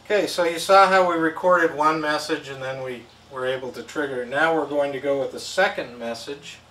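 A middle-aged man with a slightly high voice explains things step by step as a product demonstrator. His delivery is casual and plain, picked up from a distance in an echoey room with some background noise. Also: brisk, bright, forceful tone. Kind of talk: casual instructional electronics demo. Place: indoor tabletop demo setup with backdrop.

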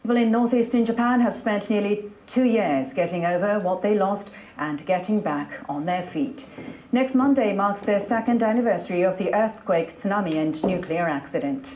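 A woman's voice: mid-range voice, expressive, moderate pace, a little mellow, slight background noise. A woman presenting scripted television news as an anchor, heard played back over speakers in a room. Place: broadcast replayed over speakers in a lecture room. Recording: dull, echoey playback in a hall.

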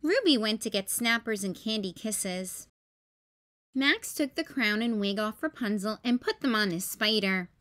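A woman hosting story time, reading a children's picture book in a careful, expressive way for young listeners. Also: mid-range voice, measured pace. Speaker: storytime host.

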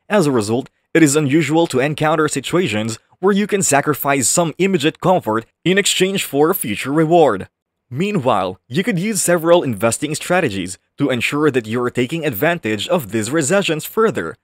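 Male voice-over narrator, mid pitch, steady smooth read, dry close mic. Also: no echo.

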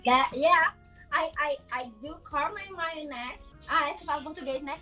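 Young woman, giggly and lively, high bright voice, music behind. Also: normal speed. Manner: giggly, animated. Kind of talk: casual video chat. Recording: video call with music behind.

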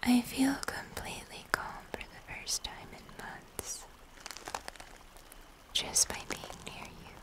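A young woman playing a gentle, melancholic moth-human creature of the woods, speaking in a slow, tender, breathy whisper for fantasy ASMR. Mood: tender, calm. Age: young adult. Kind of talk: cinematic fantasy ASMR roleplay.

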